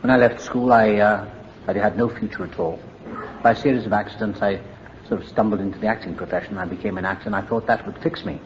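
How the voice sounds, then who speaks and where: An older man with a Welsh accent speaks in a low voice, reflective and candid with touches of dry humor. The recording is muffled and lo-fi, with a faint hum of the room behind him. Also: fairly even intonation, fast talker. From Wales. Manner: reflective, candid, wry storytelling. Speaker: AA meeting speaker. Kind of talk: personal recovery story at a meeting.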